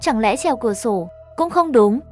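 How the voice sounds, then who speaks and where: A woman's voice: fairly high pitch, lively intonation, normal speed, faint music behind, lightly acted. A woman voicing an injured, frightened and arrogant man who curses and reassures himself in a horror story. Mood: uneasy, angry. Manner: self-reassuring, cursing, defensive. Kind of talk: horror story character dialogue.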